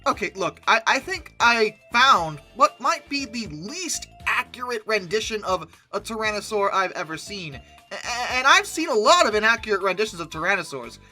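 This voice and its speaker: Host for comic commentary: lively swooping intonation, bright forceful tone, sarcastic and exasperated, light music underneath. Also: medium pitch, normal speed, hardly any pauses. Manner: sarcastic, exasperated, mock-incredulous. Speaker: video host. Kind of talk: comic commentary on dinosaur art.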